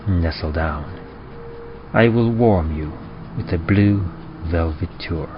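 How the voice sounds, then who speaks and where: A man narrating horror in a dramatic old-time radio style, with a grave, deliberate and ominous delivery. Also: deep voice, background noise. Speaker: horror story narrator and presenter. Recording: muffled, with prominent music behind. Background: music.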